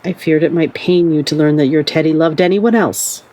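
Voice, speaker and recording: A woman playing a gentle, wise middle-aged mother in an audio play, speaking in a calm, measured, caring way. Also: low-pitched, close to an everyday voice. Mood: calm, careful.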